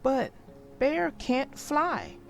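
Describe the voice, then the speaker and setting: A woman's voice: high-pitched, extremely slow, gravelly, faint music behind, pitched above the performer's own voice, full character voice. A woman reading as an eager young turtle boy. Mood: excited. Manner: lively, storybook dialogue read aloud.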